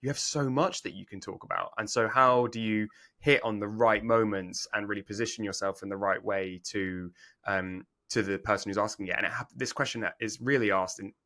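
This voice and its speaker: A man's voice: fairly low pitch, fairly even intonation, fairly quick, pushed and bright. A man hosting a podcast interview, speaking with many fillers and restarts as he introduces his guest and asks questions. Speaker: podcast host.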